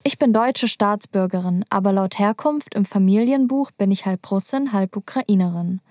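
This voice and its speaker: Woman, young adult, journalist, documentary narration, calm and measured, mellow tone, dry close mic. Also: mid-range voice, no echo. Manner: calm, measured, personal storytelling.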